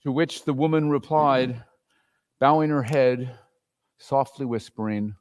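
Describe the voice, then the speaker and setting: A storyteller giving a measured dramatic reading of a classic tale. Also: mid-range voice, darkish tone.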